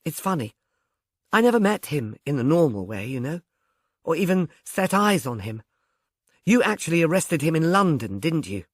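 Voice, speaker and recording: A man's voice: a little high, very animated, moderate pace, no echo, studio quality, voice pushed up. A man voicing a curious, uneasy woman in conversational audiobook dialogue, asking questions. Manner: inquisitive, conversational.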